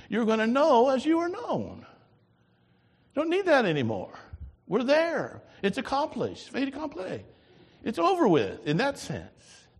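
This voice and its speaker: An older man preaching a sermon as pastor, lively, swinging from loud to soft. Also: high voice, breathy edge, in a large hall.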